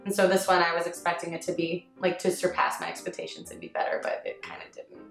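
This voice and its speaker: A woman's voice: on the low side, little pitch movement, fast, vocal fry, a bit bright. Female co-host, informal and hedging, casual panel chat, roomy echo over faint music. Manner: informal, hedging, frequent "like" and "I mean". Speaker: review show co-host.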